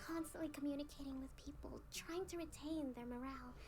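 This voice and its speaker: A woman playing a gentle, self-effacing young priestess leader in a video game, with a soft, breathy, measured voice. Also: high voice, music in the background, lightly acted. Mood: confiding, subdued. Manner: measured, earnest, hesitant. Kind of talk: video game character dialogue.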